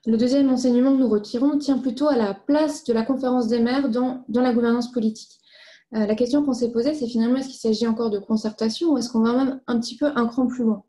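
A woman presents findings formally. Her voice sits fairly high and is very soft-edged and mellow. She explains things methodically, point by point. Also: steady tone, fast talker.

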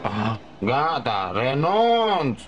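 A man's voice: mid-range voice, sing-song, lo-fi sound, music in the background, close to an everyday voice. A man voicing a cocky, wisecracking boy who also narrates the cartoon, dubbed in Romanian. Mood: glum. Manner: sarcastic, quick retorts.

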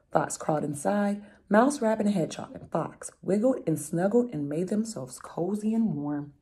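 A young woman reads a children's story in a low, mellow voice with some huskiness. She is expressive and drops into chatty asides to explain things to young listeners. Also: gravelly, slightly rough. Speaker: storyteller reading a picture book aloud. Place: room at home, indoors.

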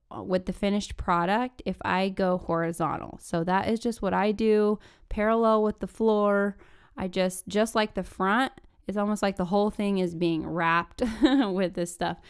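Clear, smooth-voiced woman chatting casually. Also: mid-range voice, a little slow. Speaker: DIY furniture-flip vlogger. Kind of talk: casual chatty tutorial voice-over.

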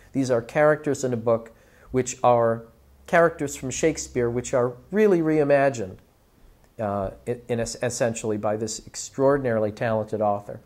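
Male director, 40s, medium pitch, deliberate halting phrasing, reflective interview delivery. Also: darkish tone. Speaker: audiobook director. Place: small office, indoors. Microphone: lapel mic.

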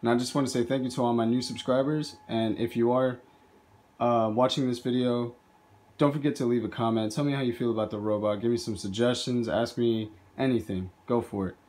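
A man's voice: medium pitch, fairly even intonation, fairly quick, a little mellow. A man hosting a video, casually explaining his hobby robot project as he films indoors. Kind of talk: casual narrated project demo.

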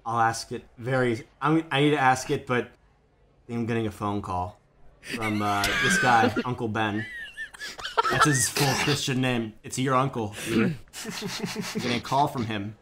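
A man playing an awkward middle-aged man in an improvised parody game dub, halting and over-polite as he pleads. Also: mid-range voice, pushed and bright, slight background noise. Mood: pleading, awkward. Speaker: voice actor in a comedy fan dub.

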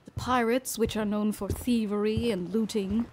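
A woman playing a wary, bookish young woman in an audio drama, musing to herself in a measured way. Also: mid-range voice, steady tone, a little mellow, slight background noise, lightly acted, poor audio. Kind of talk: fan audio drama monologue. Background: music.

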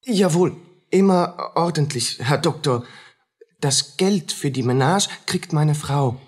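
An actor playing a harried, submissive poor soldier in a radio drama, agitated, halting and anxious. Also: male voice, fairly high pitch, animated, breathy edge, full character voice. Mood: obedient.

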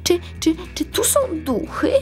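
A woman's voice: measured pace, soft background music, lightly acted. A woman voicing an eager, jumpy female Minion detective with a hesitant, stammering manner in a children's toy story. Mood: startled, nervous. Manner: hesitant, stammering, wide-eyed.

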